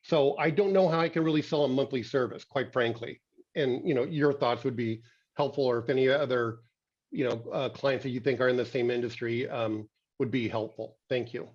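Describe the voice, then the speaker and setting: A hoarse man attending an online workshop, asking a long question in a halting way while searching for words. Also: medium pitch, darkish tone. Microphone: video call. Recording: dull video call audio.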